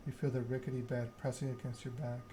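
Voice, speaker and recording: A man narrating a story game aloud in ASMR style, reading in a measured way with occasional stumbles. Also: mid-range voice, flat delivery, noisy background.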